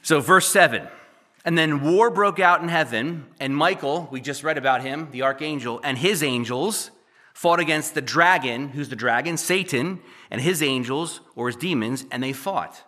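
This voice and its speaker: A man preaching a sermon, reading scripture aloud and then explaining it in a conversational way, his voice slightly hoarse and worn. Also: a little high, moderate pace. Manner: reads verses louder, then explains conversationally. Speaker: pastor.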